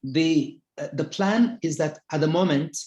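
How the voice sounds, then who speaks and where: A man's voice: on the high side, highs cut off. Guy explaining org plans on a call, echoey room, noise and clicks behind. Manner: halting then explanatory. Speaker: organization president. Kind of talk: answering a question at a meeting. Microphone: video call.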